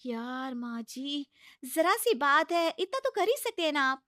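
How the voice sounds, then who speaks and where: A very high, closely recorded young daughter-in-law, acted by a woman in a comic household story. She nags and pleads with cheeky exasperation. Her delivery is insistent and scolding. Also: very animated, unhurried, bright, forceful tone, no room sound, clean studio sound, heavily acted. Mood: exasperated.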